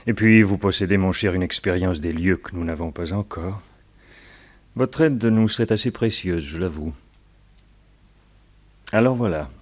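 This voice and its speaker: Low, suave cloaked villain. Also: male voice. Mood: smug, calm. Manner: suave, mock-polite, measured. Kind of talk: old French radio serial acting.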